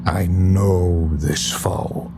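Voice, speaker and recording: A man's voice: low voice, fairly even intonation, very slow, noisy background. A man playing a grave, protective Irish second-in-command in an audio drama. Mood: grave, foreboding. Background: music.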